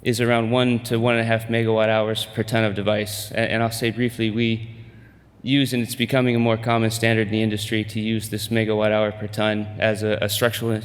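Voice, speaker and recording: A man in middle age giving a conference pitch, hesitant with many fillers and restarts. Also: completely flat delivery, fairly quick, big-room sound. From United States. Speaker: company presenter.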